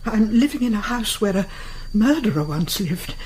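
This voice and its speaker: A woman's voice: mid-range voice, lively intonation, moderate pace, darkish tone, slight background noise, close to an everyday voice, low-quality recording. An actress playing an inquisitive, chatty widow in her seventies in a British radio play, with genteel, probing phrasing. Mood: shaken, intrigued. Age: 70s. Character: inquisitive, chatty seventy-two-year-old widowed woman neighbour.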